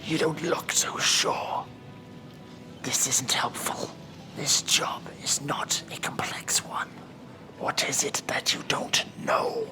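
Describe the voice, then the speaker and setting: Stern, weary demon judge of the underworld, played by a man, heavily whispered, slow and scolding, for horror ASMR. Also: a little high, wildly swooping pitch, music in the background, heavily acted, poor audio. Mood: exasperated, instructing. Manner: scolding, weary, deliberate, commanding. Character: impatient, stern male demon judge of Hell.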